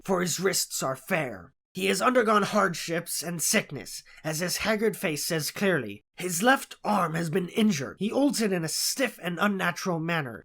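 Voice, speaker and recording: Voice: medium pitch, a bit bright, voice pushed up. A narrator playing a conceited, analytical male detective in an audiobook, lecturing with self-assured disdain. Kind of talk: audiobook character voice in classic detective story.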